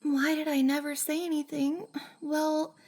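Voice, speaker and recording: A woman playing a shy, flustered young cosplayer in an intimate roleplay ASMR drama, hesitant and breathy, often sighing and dropping to a whisper. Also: high voice, level tone, unhurried, darkish tone. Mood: anxious, embarrassed.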